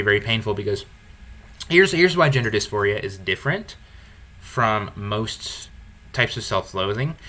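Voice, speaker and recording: A man hosting a podcast, talking earnestly and candidly about his faith in a deep low baritone, often restarting his phrases. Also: pushed and bright. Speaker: podcast host. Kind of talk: earnest conversational podcast talk.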